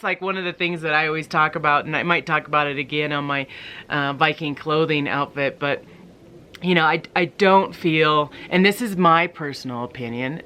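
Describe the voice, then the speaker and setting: A woman's voice: low voice, a bit bright, a little hiss or hum. A middle-aged woman from the United States chatting casually to her viewers, rambling with many ums and restarts. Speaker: vlogger. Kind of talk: casual talk to camera.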